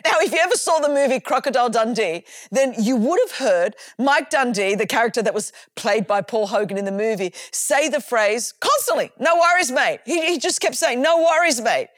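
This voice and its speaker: Animated Australian woman preacher, high voice. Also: moderate pace, few pauses, no room sound, clean studio sound. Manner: animated and emphatic, with conversational asides and repeated words. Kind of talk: sermon.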